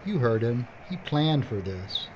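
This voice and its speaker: Hero role for a man: determined, worried fugitive, animated delivery, slightly muffled audio drama sound. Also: mid-range voice, measured pace, noisy background. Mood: urgent resolve.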